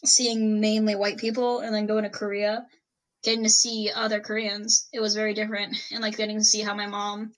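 A young woman speaking softly and hesitantly in a casual chat. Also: mid-range voice, level tone, brisk, low-quality recording. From United States.

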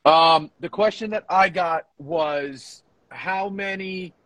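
A man with a slightly high voice co-hosts a podcast in casual, informal chat. He sounds laid-back and bantering. The sound is very dry, with no room echo. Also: slow, fairly bright tone. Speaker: podcast co-host.

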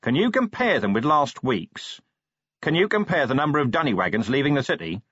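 A man voicing a gruff, weary police commander in a storybook, blunt, suspicious and demanding, with a growl. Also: mid-range voice, sing-song, a little fast, a bit bright, dry recording, muffled recording, voice pushed up. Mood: brisk.

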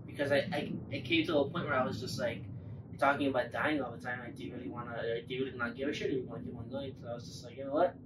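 A man's voice: medium pitch, steady tone, very fast. A man chatting casually and telling rambling personal stories, with plenty of swearing. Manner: swears freely, rambling storytelling. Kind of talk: casual chat. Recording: echoey room, distant mic, faint noise.

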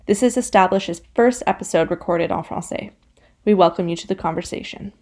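A woman reading a scripted podcast introduction in a smooth, even announcer manner. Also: fairly low pitch, moderate pace. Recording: intro over music.

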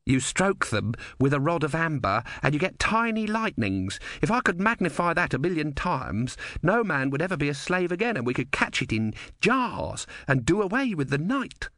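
A man's voice: on the high side, very animated, moderate pace, few pauses, fairly bright tone, dry recording, studio quality, voice pushed up. A man voicing an earnest male inventor, carried away with lofty enthusiasm, in an audiobook. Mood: enthralled.

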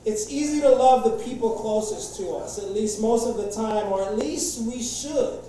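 A man's voice: high voice, moderate pace, warm tone, a little hiss or hum. A man preaching a sermon to a congregation in a casual, chatty way, with many fillers and restarts. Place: large echoey church hall. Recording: echoey church hall from far off.

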